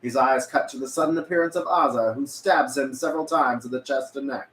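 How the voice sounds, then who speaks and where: Male narrator, medium pitch, reading stage directions in a reverberant room with faint noise. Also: fairly quick, a bit bright. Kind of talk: scripted narration at a table read.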